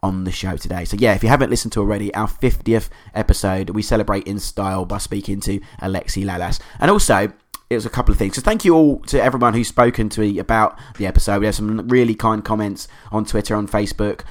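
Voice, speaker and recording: A man hosting a podcast, hoarse from a cold, chatting in an enthusiastic, rambling way. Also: lowish voice. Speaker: podcast host. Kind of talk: casual podcast monologue.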